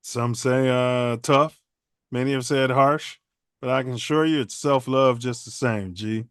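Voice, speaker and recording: A man hosts a casual podcast, rambling along in loose slang. His voice is smooth and clear. The sound is dry and close. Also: medium pitch, fairly even intonation, a little slow, a bit bright, no echo. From Chicago. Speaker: podcast host. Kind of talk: casual livestream podcast chat.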